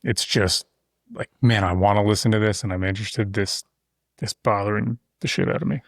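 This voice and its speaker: A man with a lowish voice hosts a podcast and talks easily, wandering into asides as he explains things. He sits close to the mic, and the sound is dry and close, with no room echo. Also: steady tone, fairly quick. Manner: talkative, explains at length with loose asides. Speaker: podcast host. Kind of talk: casual conversation. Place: insulated shop-building studio. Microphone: close studio mic.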